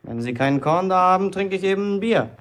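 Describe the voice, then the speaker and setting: A man's voice: high voice, lively intonation, fast, fairly bright tone. A man acting a cocky, grumbling fifteen-year-old apprentice bricklayer in a German radio play, self-important in his way of talking. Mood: indignant, grumbling. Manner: boastful, indignant, self-important.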